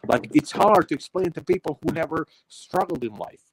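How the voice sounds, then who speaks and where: Give me a man with a Bosnian accent talking loosely on a livestream call, somewhat high-pitched. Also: lively intonation, moderate pace, over a noisy crowd, rough recording. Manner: heavy fillers, repeats words, swears. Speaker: stream guest. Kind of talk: casual chat. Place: Washington home, on a stream call.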